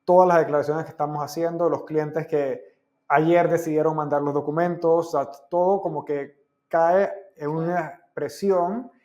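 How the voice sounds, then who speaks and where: A man's voice: a little high, steady tone, moderate pace, a little mellow, muffled recording. A man from the Americas hosting a podcast, asking rambling questions in a conversational way. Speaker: podcast host. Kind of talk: casual interview conversation.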